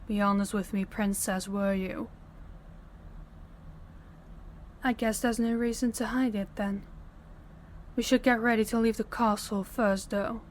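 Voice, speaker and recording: A woman's voice: medium pitch, steady tone, slight background noise, lightly acted. A woman playing a young princess, stern, principled and formal, in an audio roleplay. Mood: serious, urgent. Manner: formal, commanding, measured diction. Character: young princess, stern, authoritative, compassionate, defiant.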